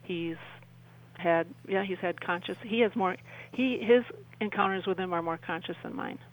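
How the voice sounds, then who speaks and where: An older woman, around her sixties, talking as an interview guest in a halting, rambling way and often rephrasing mid-sentence. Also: lowish voice, rough recording. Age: older, about 60s. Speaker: interview guest, UFO experiencer. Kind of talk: conversational radio interview storytelling.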